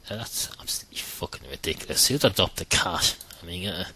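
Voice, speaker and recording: A very deep-voiced man hosts his own podcast in a loose, rambling comic monologue. He is dryly sarcastic and swears now and then, stumbling through ums and repeated words as he goes. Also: expressive, fast, sharp tone, husky. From Ireland. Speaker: podcast host.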